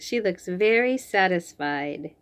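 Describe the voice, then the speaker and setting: Slow, lively woman reading to children. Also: on the low side, smooth. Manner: expressive, drawn-out reading with chatty asides. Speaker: children's read-aloud host. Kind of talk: picture book read-aloud for young children.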